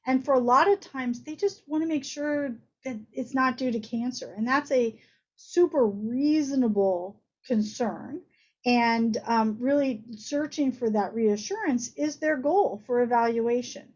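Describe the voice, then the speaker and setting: A woman's voice: on the high side, a little slow, a little mellow. A woman doctor giving an informal medical lecture, explaining things conversationally with everyday analogies over slightly muffled audio.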